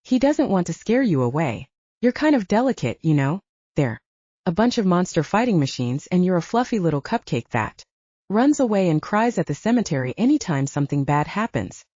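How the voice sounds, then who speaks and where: Lady doing blunt teasing butcher guy, protective, mellow and dry sound, audiobook. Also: fairly low pitch, sing-song, a little fast, no echo, dull audio. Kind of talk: audiobook character dialogue.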